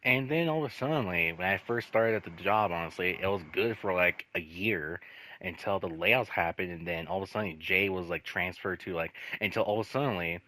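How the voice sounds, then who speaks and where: A man telling casual stories in a rambling, halting way, swearing freely and leaning on repeated filler phrases. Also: low voice, sharp tone, no echo, low-quality recording. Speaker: host telling personal work stories. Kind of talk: casual storytelling chat.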